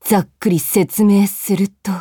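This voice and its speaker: A woman's voice: mid-range voice, measured pace, natural voice. An actress playing an exasperated, flustered 24-year-old office worker who narrates her own troubles in a radio drama. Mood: matter-of-fact, weary. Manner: animated, flustered, grumbling. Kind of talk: Japanese radio drama monologue, anime-like. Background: loud music.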